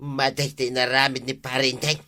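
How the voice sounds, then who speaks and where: A man's voice: medium pitch, flat delivery, normal speed, pushed and bright, natural voice. A man acting a proud, aggrieved old village watchman in an Ilocano comedy drama, with an Ilocano accent. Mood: sulky, complaining.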